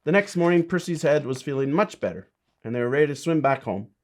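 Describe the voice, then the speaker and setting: A man's voice: a little high, expressive, quick pace. A man, a bishop, reading a children's storybook and chatting to kids with simple, encouraging asides.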